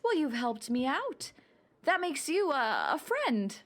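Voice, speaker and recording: A woman's voice: high voice, sing-song, takes it slowly, full character voice. A woman playing a kindly, helpful town hall clerk in a radio play, friendly, hesitant and reassuring. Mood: chatty.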